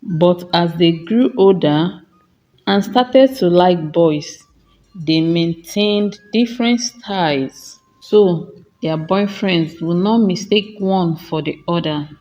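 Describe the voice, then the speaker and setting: A woman narrating a scripted folktale in short, measured, dramatic phrases. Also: lowish voice, dark tone, lo-fi sound. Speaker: storyteller.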